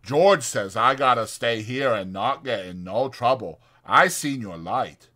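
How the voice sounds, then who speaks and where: A man voicing a big, simple, friendly farmhand with halting, childlike speech. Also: mid-range voice, expressive, pushed and bright. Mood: friendly, hopeful.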